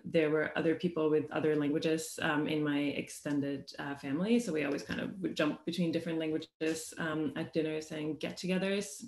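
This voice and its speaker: A woman's voice: low-pitched, reverberant. A woman in her thirties who grew up in Sweden with Swedish as her first language, speaking thoughtfully on a video call panel with many fillers. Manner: thoughtful, many ums and uhs. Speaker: translator and panelist. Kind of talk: conversational storytelling. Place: bright home room.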